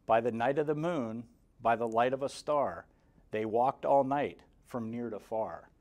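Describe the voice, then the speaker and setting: A man in his forties reading a rhyming children's picture book aloud, expressively, in a measured sing-song with clear emphasis. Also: medium pitch, darkish tone. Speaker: guest story reader for kindergarteners. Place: indoor room, seated in front of a banner. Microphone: lapel mic.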